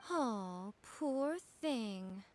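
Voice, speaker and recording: A woman playing a sly, teasing fox shrine priestess in a video game, poised and deliberate, with mock sympathy over quiet menace. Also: a little high, lively intonation, rough recording. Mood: mock pity.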